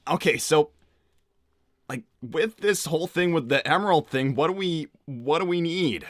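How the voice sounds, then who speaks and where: A man's voice: fairly high pitch, expressive, moderate pace, no room sound, clean studio sound, lightly acted. A man playing a casual, awkward young cartoon hero in improvised livestream roleplay. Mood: hesitant, easygoing. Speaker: performer voicing game characters on a fan livestream.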